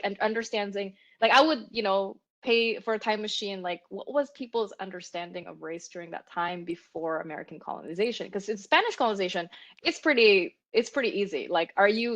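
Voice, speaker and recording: A woman from the Philippines talks in a relaxed, chatty way, with lots of fillers and easy laughter. Her voice is muffled, as on a video call, and her pitch sits in a middling range. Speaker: educator on a webinar panel. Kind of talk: casual panel discussion.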